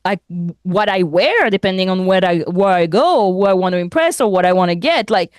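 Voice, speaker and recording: Animated French-native woman, dry mic. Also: medium pitch, fairly quick, clear, resonant tone, no room sound. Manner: animated, laughs often, many restarts. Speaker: podcast co-host. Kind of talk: casual chat.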